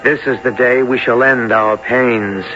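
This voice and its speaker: A man's voice: mid-range voice, fairly even intonation, measured pace, bright, forceful tone, soft background music. An actor playing an earnest, haunted young man in a 1970s radio drama, hesitant and dramatic in his delivery. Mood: trance-like, fervent. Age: young adult. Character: earnest, intense young man haunted by past-life memories. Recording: dull, noisy 1970s radio recording.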